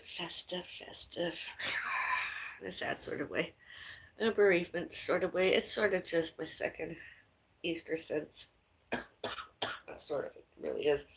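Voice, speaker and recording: A woman with a raspy voice, rambling casually on a live stream from her home kitchen. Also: lowish voice. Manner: rambling, chatty, calls out to someone in another room. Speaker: vlogger.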